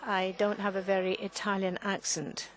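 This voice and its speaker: A woman interpreting a panel talk live, in halting phrases with frequent restarts and self-corrections. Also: medium pitch, level tone, a crowd in the background, low-quality recording. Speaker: conference interpreter.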